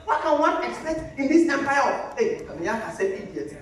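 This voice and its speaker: An actor playing a pompous, excitable, food-loving boss on stage, declaiming in loud exclamations. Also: high-pitched, fairly quick. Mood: scornful, excited. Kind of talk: loud theatrical stage acting. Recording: very echoey stage hall, distant mic.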